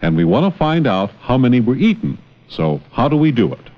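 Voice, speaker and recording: A man's voice: medium pitch, sing-song, brisk. A man teaching in an educational film, encouraging and full of questions, on an old film soundtrack. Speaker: teacher, narrator. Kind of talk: educational film dialogue with a child. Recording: dull, noisy, clicking old 16mm film soundtrack. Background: clicks and heavy film noise.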